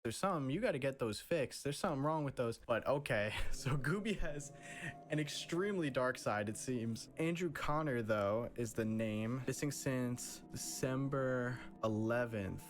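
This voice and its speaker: A man hosts a reaction video with a medium-pitched voice. He talks informally and analytically, working things out aloud as he comments. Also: moderate pace, soft background music. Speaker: host of a horror video reaction.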